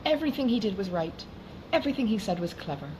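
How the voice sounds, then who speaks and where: A woman reading a classic novel aloud in a measured, formal way, as an audiobook narrator. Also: medium pitch, lively intonation. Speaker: audiobook reader. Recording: clearly noisy background.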